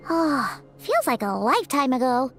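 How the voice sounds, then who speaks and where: A woman's voice: very animated, normal speed, music in the background. A woman voicing a tiny, chirpy female fairy companion in a video game who talks about herself in the third person. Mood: musing. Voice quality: squeaky, bouncy, refers to self in third person.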